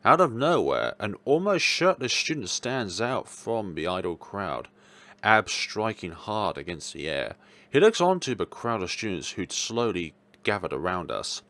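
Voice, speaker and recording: A man reading a visual novel story aloud in an expressive, dramatic way, as a let's player. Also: fairly low pitch, a little slow. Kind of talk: expressive read-aloud narration of game text. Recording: music playing behind the voice. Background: game background music.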